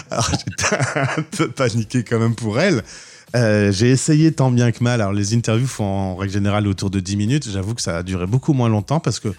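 A man's voice: lowish voice, a little mellow. A man hosting a radio show, chatting casually in an interview with enthusiasm and frequent stammered restarts. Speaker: radio host. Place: radio studio.

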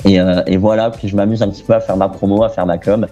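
A young man from France, a guest talking casually in an interview, relaxed and chatty with lots of fillers and restarts. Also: on the low side, fast, soft background music.

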